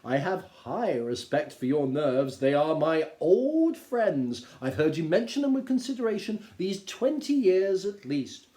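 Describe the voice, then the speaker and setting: A man voicing a wry, teasing middle-aged husband, measured and mock-polite, reading from a classic novel. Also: on the high side, sing-song, dark tone, voice pushed up. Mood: mock-soothing. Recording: roomy, echoing indoor sound.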